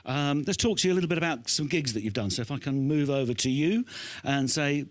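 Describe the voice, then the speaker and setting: Male host, 50s, slightly high voice, chatty and encouraging, close dry handheld mic sound. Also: fast talker, no echo. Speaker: host interviewing a young band. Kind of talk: casual on-stage interview. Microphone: handheld microphone.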